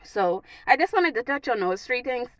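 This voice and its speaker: A woman's voice: fairly high pitch, normal speed, no room sound, muffled recording. A young woman with a Bahamian accent giving casual advice to her viewers, emphatic and repeating herself for stress. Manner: animated, preachy, repeats phrases for emphasis. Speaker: vlogger. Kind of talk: casual advice talk to camera.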